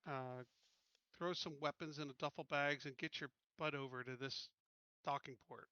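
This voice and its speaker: A man's voice: on the high side, steady tone, normal speed, pushed and bright, clean tone, dry recording, dull audio. A man playing a tabletop roleplaying game, chatting casually as he talks through decisions.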